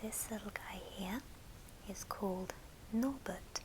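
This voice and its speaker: A woman whispering softly and breathily in a gentle, affectionate ASMR show and tell. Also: mid-range voice, slow, noisy background. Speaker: ASMR video host.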